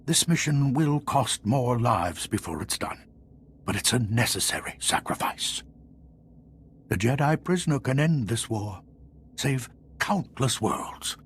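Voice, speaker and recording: An actor playing a determined Republic fleet commander in a video game, speaking with solemn authority. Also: male voice, medium pitch, expressive, unhurried, fairly bright tone, a little hiss or hum. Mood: solemn resolve. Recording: music under the voice.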